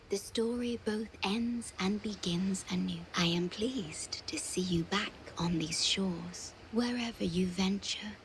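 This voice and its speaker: Breathy, slow, serene female guardian. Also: medium pitch, a little hiss or hum, rough recording. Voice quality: breathy, near-whisper. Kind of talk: soft game character acting.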